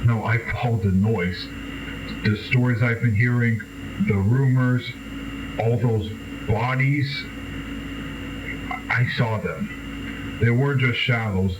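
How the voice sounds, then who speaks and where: A man playing a scared, bitter nineteen-year-old street kid confessing in a horror monologue, halting and grave, with swearing and dramatic pauses. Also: lowish voice, lightly acted. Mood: grim, urgent, then shaken, haunted. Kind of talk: found-recording horror monologue acting. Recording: noisy, distant, muffled amateur radio-like tape.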